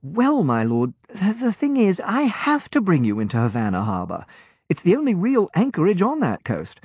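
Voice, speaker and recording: A man's voice: high-pitched, huge pitch swings, moderate pace, a little mellow, slightly rough, dry recording, pitched above the performer's own voice, exaggerated voice. A man voicing a chirpy teenage naval lieutenant. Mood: explaining. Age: late teens. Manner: eager, chatty, confiding. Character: cheerful young male naval lieutenant. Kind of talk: audiobook character voice. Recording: dull, muffled audiobook recording.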